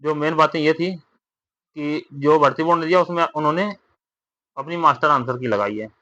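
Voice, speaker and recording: Man, sharp forceful voice, dry dull recording, informal explainer, repetitive, tag questions. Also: on the high side, moderate pace. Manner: repetitive, with frequent tag questions seeking agreement. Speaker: exam update vlogger. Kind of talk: informal explainer addressed to exam candidates.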